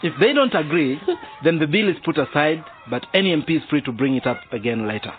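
A man's voice: a little high, animated, fast, music in the background, close to an everyday voice. A man playing a genial but firm police sergeant and father, teaching boys in a radio drama, chuckling and hesitating. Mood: genial, instructive. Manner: chuckling, didactic, hesitant fillers. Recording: noisy, tinny phone-like radio drama recording.

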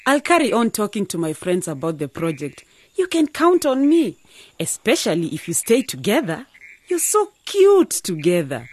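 Husky, affectionate older mother. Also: medium pitch, huge pitch swings, normal speed, darkish tone. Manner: affectionate, encouraging. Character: warm, supportive older village mother and aunt. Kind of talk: radio drama acting.

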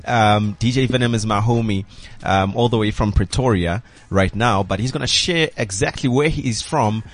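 A man's voice: lowish voice, normal speed. A man hosting a radio show, chatting casually with guests and saying "you know" a lot. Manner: relaxed, repeats "you know" and "my brother". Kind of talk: casual interview chat.

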